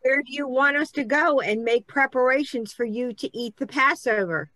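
A woman's voice: high-pitched, normal speed, bright, forceful tone, a little hiss or hum. A woman reading the part of an earnest disciple in a dramatic scripture reading. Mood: inquiring. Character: disciple questioning earnestly in a gospel story. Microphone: video call.

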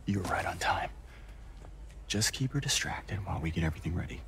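An actor playing a gracious, soft-hearted male charity leader in a video game. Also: low-pitched, animated, fairly quick, vocal fry, whispered, full character voice. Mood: friendly, conspiratorial. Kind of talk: cinematic game acting.

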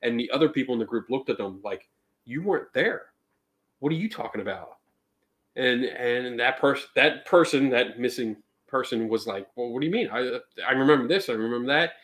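A man's voice: medium pitch, lively intonation, a little fast, a bit bright. A man hosting a casual panel chat in a living room, rambling with many restarts and acting out what people said. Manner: rambling, restarts often, acts out quotes. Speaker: podcast host.

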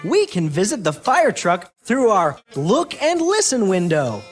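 Peppy cartoon bunny for a kids game, super swoopy pitch, slow pace, encouraging. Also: male voice, wildly swooping pitch, soft background music, full character voice. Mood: cheerful, eager. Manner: bouncy, encouraging, exaggerated and clearly enunciated for small children. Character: upbeat young male cartoon rabbit guiding children. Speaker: children's game guide character. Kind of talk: animated educational game voice acting.

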